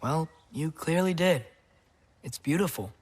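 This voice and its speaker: A man's voice: on the high side, lively intonation, a little slow, husky. A young male actor playing an earnest, curious, slightly awkward teenage boy in a video game, talking casually and hesitantly. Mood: admiring. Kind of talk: naturalistic video game acting.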